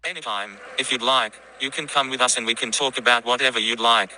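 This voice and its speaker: A polite, loyal male companion in an audio drama, played by an amateur actor. His mid-range voice is very bright and reassuring, with faint noise behind it. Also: medium pitch, steady tone, quick pace, rough recording.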